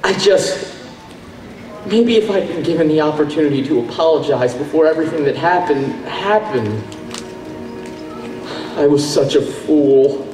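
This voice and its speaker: A man's voice: a little high, unhurried, pauses often, music in the background, close to an everyday voice. A man acting a proud, reckless winged pony general with dry, wry humor in a fan radio drama. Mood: regretful. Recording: echoey playback from far off.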